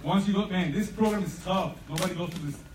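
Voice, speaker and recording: A man's voice: high-pitched, fast. A man from El Salvador giving an emphatic motivational speech as a program founder, often checking that listeners agree. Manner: impassioned, emphatic, seeks agreement with "right?". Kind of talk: motivational public speech to parents and students. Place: large room at a live event. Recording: roomy, distant mic, faint noise.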